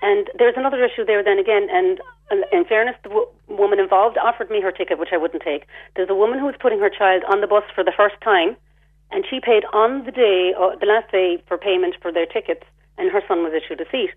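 A woman's voice: medium pitch, quick pace. An Irish woman calling in to a radio show, describing her complaint in detail and with a sense of grievance. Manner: aggrieved, detailed, retells her exchanges. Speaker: caller. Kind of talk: phone-in radio call. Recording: phone line.

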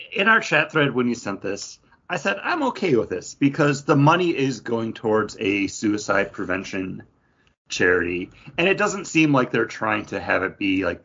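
Male podcast co-host, husky and on the low side, dull lo-fi sound, casual chat. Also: fairly bright tone. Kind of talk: casual pop-culture chat.

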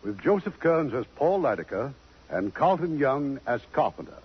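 A man's voice: mid-range voice, sing-song, normal speed, heavy background noise. A man narrating a scripted 1950s radio drama as its announcer. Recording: muffled radio broadcast with music behind.

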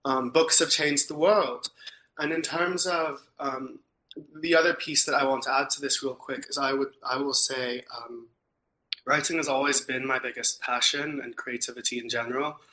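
A man's voice: mid-range voice, steady tone, moderate pace, pushed and bright, poor audio. A young man from Turkey, a podcast guest, talking thoughtfully and earnestly about his views, with frequent ums and restarts. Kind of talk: casual interview talk.

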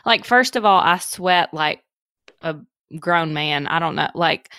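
A woman's voice: on the low side, a little slow, bright, forceful tone, smooth, dry recording, studio quality. A woman in her late twenties co-hosting a podcast, candid and jokey about herself. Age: 20s. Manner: candid, jokey, self-deprecating. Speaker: podcast co-host. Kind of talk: casual podcast chat.

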